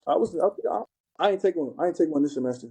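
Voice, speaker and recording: A young college football player from Cleveland speaking haltingly, with many restarts and "you know.". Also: male voice, on the high side, animated, warm tone, slightly rough. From Cleveland, Ohio. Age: young adult. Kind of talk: casual podcast chat.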